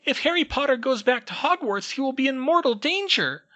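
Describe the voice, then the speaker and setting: A man voicing a small, servile male house-elf with a very high squeaky voice and broken grammar, who calls everyone sir. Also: lively intonation, brisk, sharp tone, full character voice. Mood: urgent alarmed.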